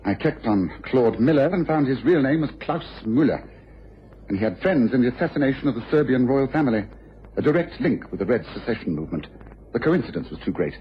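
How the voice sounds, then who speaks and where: A man's voice: medium pitch, sing-song, fairly quick, slight background noise, natural voice. Man acting a commanding detective, dull old radio sound. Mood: smug, explanatory. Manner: articulate, authoritative, self-assured. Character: shrewd, commanding, confident English gentleman detective.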